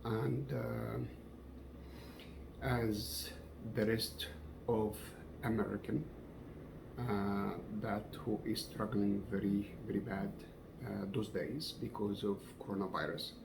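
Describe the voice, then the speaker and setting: Low gravelly man from Egypt, halting. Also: steady tone, background noise. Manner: halting, many fillers, non-native grammar. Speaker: fencing coach sharing opinions. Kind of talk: casual talk to camera. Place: at home.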